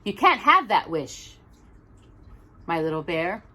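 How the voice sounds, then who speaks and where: A woman's voice: lowish voice, sing-song, slow, sharp tone, slight background noise, exaggerated voice. A woman reading a children's storybook, playing a patient mother bear with a playful, motherly, questioning manner. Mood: gently firm. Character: patient, gently teasing adult mother bear.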